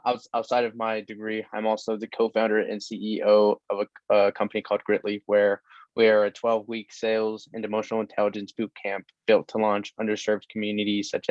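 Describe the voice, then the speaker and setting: A young man in his twenties speaks in a low, flat voice over dull, dry webcam audio. He fills his sentences with "you know" as he talks eagerly. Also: fairly quick, dry recording. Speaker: recent graduate, startup founder. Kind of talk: panel self-introduction.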